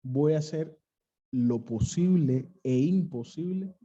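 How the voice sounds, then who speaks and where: Dark-voiced Cuban preacher. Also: male voice, fairly high pitch, highs cut off. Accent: Cuban, western coastal. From Cuba. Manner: earnest, emphatic, pausing on key phrases. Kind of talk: sermon.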